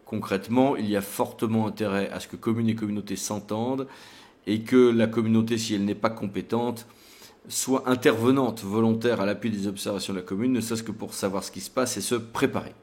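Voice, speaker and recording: A man's voice: fairly low pitch, steady tone, a little fast, a little mellow. A man presenting a legal tutorial in a didactic, emphatic way, like a lawyer explaining case law to an audience.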